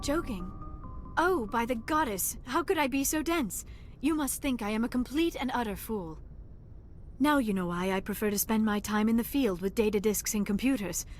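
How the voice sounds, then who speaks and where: A woman's voice: on the high side, moderate pace, close to an everyday voice. An actress playing a young alien archaeologist in a video game, earnest and formal, flustered when she feels embarrassed, with music playing behind her. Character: young alien woman archaeologist, shy and awkward.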